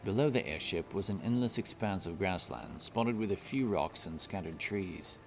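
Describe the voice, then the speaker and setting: A man narrating a scripted fantasy story in a measured, deliberate storytelling manner. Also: low voice, soft background music.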